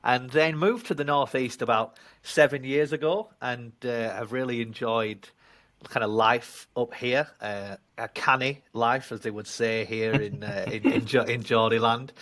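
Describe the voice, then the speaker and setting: A man's voice: mid-range voice, unhurried. Man with a broad Yorkshire accent. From England (Leeds). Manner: self-deprecating, animated storyteller. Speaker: guest. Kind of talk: casual interview chat. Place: at home.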